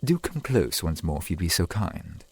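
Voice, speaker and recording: A man playing a courtly, adoring centuries-old vampire boyfriend in an ASMR roleplay, speaking in formal, old-fashioned phrases with a husky voice. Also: deep voice, very animated, moderate pace, a little mellow, a little hiss or hum. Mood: affectionate. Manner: formal, archaic, genteel phrasing.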